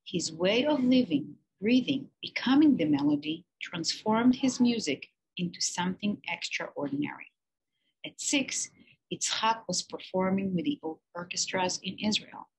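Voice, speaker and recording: A woman's voice: mid-range voice, animated, unhurried, breathy edge, rough recording. A woman teacher reading a children's picture-book biography aloud, with lifted emphasis on key words.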